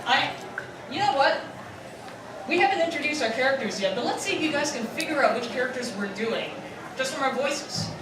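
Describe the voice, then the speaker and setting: A woman gives a casual panel talk to an audience. Her voice sits a bit high and comes from far off in a very echoey convention room with clear background noise. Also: animated, a little fast.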